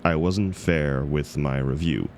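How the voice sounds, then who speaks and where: A man acting as a bashful book club guy with a deep, gravelly voice. He talks hesitantly, stumbling over himself and putting himself down in an intimate romantic audio roleplay. His slow delivery swings expressively up and down. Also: a little hiss or hum, heavily acted. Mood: sheepish, reflective. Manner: hesitant, stammering, self-deprecating. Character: awkward, flustered book club member, bashful critic. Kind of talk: scripted romantic audio roleplay, intimate one-sided dialogue.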